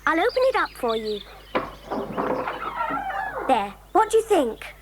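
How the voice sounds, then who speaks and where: A woman's voice: high-pitched, very animated, unhurried, heavy background noise. A woman playing a neat, proper little schoolgirl in a children's audio drama, eager and prim.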